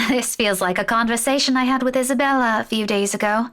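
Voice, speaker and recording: An actress as an anxious woman worn down by a hospital vigil, in a visual novel. Her high voice is earnest and hesitant, catching slightly on her words. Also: brisk, fairly bright tone, natural voice. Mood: weary, grateful.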